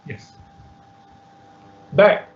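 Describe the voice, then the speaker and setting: A man instructing an online class through a live software demonstration, talking haltingly as he thinks aloud. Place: indoors, online class at a computer. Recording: muffled online class audio with background noise.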